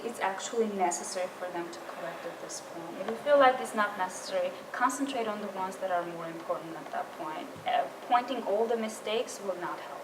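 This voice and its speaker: A woman's voice: medium pitch, brisk, few pauses. A woman leading a writing tutor training session, explaining patiently and restarting her phrases. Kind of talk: workshop presentation. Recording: roomy distant mic with room noise.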